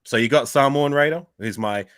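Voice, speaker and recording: A man's voice: mid-range voice, a little fast, a bit bright, no echo, studio quality. An Australian man chatting casually as a guest on a fan podcast, rambling with lots of restarts. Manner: rambling, full of restarts and fillers. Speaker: guest content creator.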